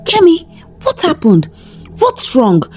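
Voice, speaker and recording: A woman's voice: high voice, huge pitch swings, slow, a little mellow, soft background music, pitched above the performer's own voice, exaggerated voice. A woman voicing a caring, troubled young husband in a folktale, speaking earnestly. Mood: alarmed.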